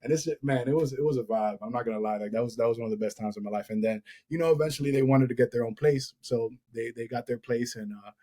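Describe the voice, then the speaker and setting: A man in his thirties hosts a casual podcast chat. He tells his stories in a rambling way, often restarting mid-sentence and saying "like". His voice sits at an ordinary middle pitch. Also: quick pace, hardly any pauses, warm tone. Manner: storytelling, many restarts and "like". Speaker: podcast host.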